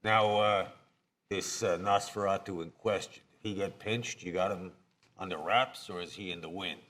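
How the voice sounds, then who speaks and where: A man acts out a streetwise vampire heavy for a tabletop game. The voice is deep, with a hard, bright edge. He is blunt and folksy, tossing off gangster slang. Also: fairly even intonation, normal speed. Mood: matter-of-fact. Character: tough, streetwise, plain-speaking male vampire. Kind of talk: improvised tabletop roleplay before a live audience.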